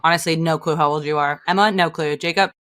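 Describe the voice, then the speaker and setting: A young woman in her early twenties talks casually on a podcast with her mother. Her voice is a bit low, and her speech is loose and conversational, with plenty of "like" fillers. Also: fairly even intonation, fast talker, a bit bright, no room sound, clean studio sound. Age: young adult. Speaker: podcast co-host, daughter. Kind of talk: casual chat.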